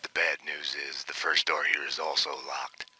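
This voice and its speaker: An actor playing a world-weary, fatalistic detective who narrates his own downfall in a grim, deliberate noir style. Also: level tone, husky, full character voice. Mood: brooding, fatalistic. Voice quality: very deep, low-voiced. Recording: loud music behind the voice. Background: loud game soundtrack music.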